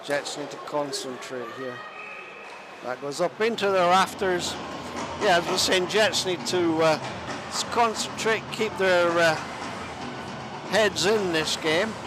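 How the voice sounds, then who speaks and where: A man giving live play-by-play commentary on an ice hockey game, chatty and self-deprecating, sometimes pausing to find his words. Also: high-pitched. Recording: music clearly audible behind the voice. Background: music.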